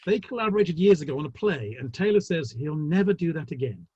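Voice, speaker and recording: Man acting husky, animated Victorian theatre gentleman. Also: a little high, brisk. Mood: wry gossip. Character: gossipy, wry Victorian theatre gentleman at his club. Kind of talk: dramatic play reading over the internet. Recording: muffled, roomy online call audio.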